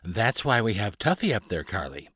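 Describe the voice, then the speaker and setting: A man's voice: on the low side, sing-song, moderate pace, pushed and bright, no echo, clean studio sound, exaggerated voice. A man voicing a patient stuffed-toy crew member in a storybook who explains sailing simply. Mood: patient, explaining.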